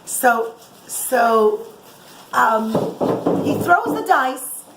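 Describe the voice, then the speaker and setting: A woman with a high voice tells children a religious story in an informal, motherly way. She repeats words for emphasis as she explains. The room is echoey, with clear noise behind her. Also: animated, drawn-out words.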